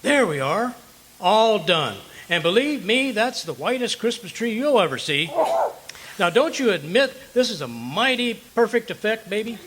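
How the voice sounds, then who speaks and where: An actor playing a blustery, folksy, boastful husband with an exclamatory manner, in old-time radio comedy style. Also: male voice, high-pitched, big pitch swings, normal speed, rough recording. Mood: proud, excited. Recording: live recording with loud hissing noise.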